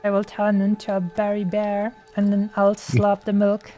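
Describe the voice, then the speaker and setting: A woman's voice: mid-range voice, monotone, normal speed, dark tone, muffled recording, faint music behind. A young woman from Germany with a German accent, chatting casually and playfully while streaming a game, often laughing and using fillers. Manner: giggly, playful, lots of fillers. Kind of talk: casual gameplay chat.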